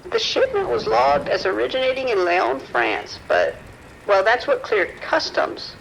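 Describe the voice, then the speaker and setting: Anxious male researcher, acted, very creaky high voice that swings widely, formal and hesitant, radio drama phone report. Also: huge pitch swings, a little slow, fairly bright tone, slight background noise, full character voice, low-quality recording. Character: apologetic, worried research scientist reporting trouble, male. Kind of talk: audio drama phone dialogue.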